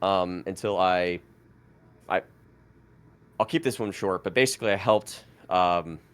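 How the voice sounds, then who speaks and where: Thirties guy, native French speaker, low-pitched, chatty and meandering, restarts his sentences a lot. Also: measured pace, a bit bright, clean tone. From France. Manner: rambling, lots of "like" fillers and restarts. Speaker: podcast guest. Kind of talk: casual storytelling interview.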